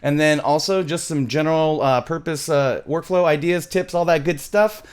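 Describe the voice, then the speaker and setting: A middle-aged man presenting a music software tutorial in a casual, loose, thinking-aloud way, recorded on a studio mic in a small home room. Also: a little high, moderate pace, smooth. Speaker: music production tutorial host.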